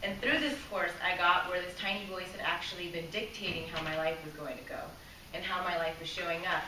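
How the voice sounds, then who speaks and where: A woman's voice: lowish voice, pushed and bright. A woman giving a prepared speech to a live audience, earnest and direct. She asks the room questions and sometimes loses her place and starts over. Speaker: club speaker. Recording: echoey room from a distance, faint hiss.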